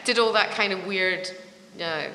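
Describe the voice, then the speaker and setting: A woman's voice: medium pitch, a little slow, sharp tone, a little hiss or hum. A middle-aged Scottish woman from Edinburgh, a podcast guest chatting casually, laughing often and acting out voices in her stories. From Scotland. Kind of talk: casual interview chat. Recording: roomy hall reverb with faint ambience.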